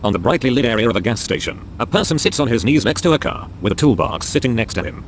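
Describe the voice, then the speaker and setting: A man's voice: mid-range voice, big pitch swings, quick pace, slight background noise. A man reading scripted narration of dramatic action scenes as a storyteller.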